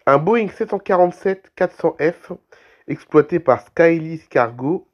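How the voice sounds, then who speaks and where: A man with a cold reads aviation news aloud, clearing his throat and restarting phrases. His pitch is mid-range and his pace is steady. The recording is a little dull. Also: a little slow. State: has a cold, coughing. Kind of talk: reading aviation news with commentary.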